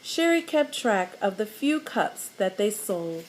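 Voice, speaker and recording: Animated woman reading a kids' story. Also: mid-range voice, unhurried, a little hiss or hum. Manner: animated, teacherly, explains pictures. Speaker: storyteller reading a picture book. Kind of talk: children's story read-aloud with explanations.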